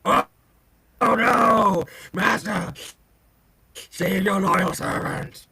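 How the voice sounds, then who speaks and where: A beastly, cowering minion from a comic. The voice is high and very raspy, full of gravel, with a whiny, servile air. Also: male voice, fairly even intonation, extremely slow, pushed and bright, full character voice. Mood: panicked pleading. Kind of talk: live comic book table read.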